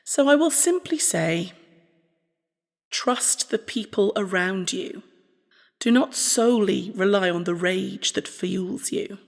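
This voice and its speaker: A woman playing a formal, fond scientist, reading out a farewell letter in a measured way. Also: mid-range voice, animated, gravelly. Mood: fond, earnest. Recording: long hall-like reverb.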